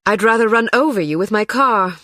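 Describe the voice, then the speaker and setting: An actress playing a cool, sardonic young secret agent in a video game, with a dry, deadpan delivery. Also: female voice, mid-range voice, fairly quick, no room sound. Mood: dry sarcasm.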